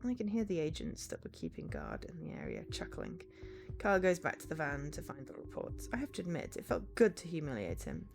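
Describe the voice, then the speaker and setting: A woman's voice: low voice, wildly swooping pitch, a little fast, gravelly, music in the background. A woman streamer reading a visual novel aloud, narrating and acting out the dialogue. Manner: acts out dialogue between narration. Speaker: game streamer.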